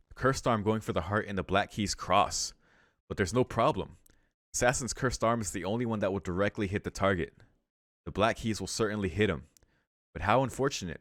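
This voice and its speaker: A man reading a visual novel's story aloud for a let's play, breaking into casual, excitable reactions with some swearing. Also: fairly low pitch, no echo, clean studio sound. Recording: music behind the voice.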